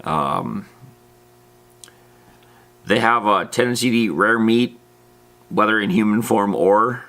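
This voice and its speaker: A man's voice: fairly low pitch, fairly even intonation, takes it slowly, long pauses, fairly bright tone. A man giving a casual, unscripted explainer as a host, in an informal, matter-of-fact, rambling way. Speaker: host of a tabletop roleplaying lore channel.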